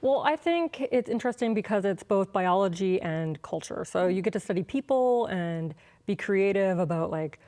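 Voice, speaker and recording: A woman's voice: mid-range voice, expressive, normal speed, dark tone. An American woman, a scientist guest, explaining her work conversationally with casual, chatty phrasing in a studio interview. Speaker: biological anthropologist and museum curator, interview guest. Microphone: clip-on lapel mic.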